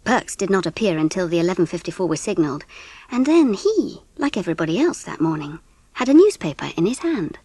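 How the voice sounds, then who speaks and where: A woman with an English accent giving an expressive, measured narration of a scripted storybook. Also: on the low side, husky, no room sound. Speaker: audiobook narrator.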